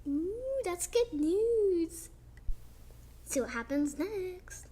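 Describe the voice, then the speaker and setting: A young girl reading a children's picture book aloud, slowly and expressively, with chatty asides about the pictures. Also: very high-pitched, sing-song, warm tone, slight background noise. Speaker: child storyteller.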